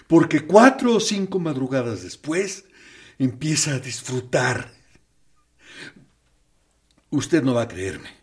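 Husky tormented captain, lively uneven delivery, slow, radio drama. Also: male voice, medium pitch. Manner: anguished, swinging from hushed to loud. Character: tormented male torturer captain, confessing. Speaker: actor.

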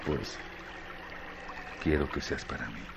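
An actor playing a bored, scornful, domineering young Mexican rancher in a radio drama, with colloquial, brooding speech. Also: male voice, very deep voice, slow, heavily acted. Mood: hesitant. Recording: clearly noisy radio drama track.

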